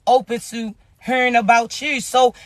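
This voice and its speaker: A man with a loud, raspy voice giving a casual spiritual message to his viewers in an emphatic, slow, preaching style. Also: extremely high pitch, level tone, no echo, studio quality.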